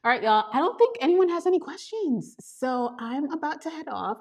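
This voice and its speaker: Bubbly woman livestreaming, laughing often. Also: medium pitch. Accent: Trinidadian. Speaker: YouTube coach streaming live. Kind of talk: casual livestream chat with viewers. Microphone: handheld gimbal camera.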